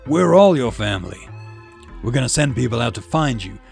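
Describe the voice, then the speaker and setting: A man's voice: medium pitch, sing-song, normal speed, gravelly, a little mellow, soft background music. Man acting a stern, emphatic father figure. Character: stern, fatherly man admonishing a young loner.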